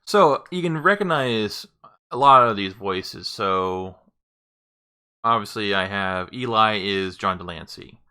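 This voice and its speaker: A man's voice: lowish voice, expressive, takes it slowly, bright, forceful tone, smooth. A man giving casual gameplay commentary as a streamer, in a hesitant, rambling manner. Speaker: streamer demonstrating game voice packs.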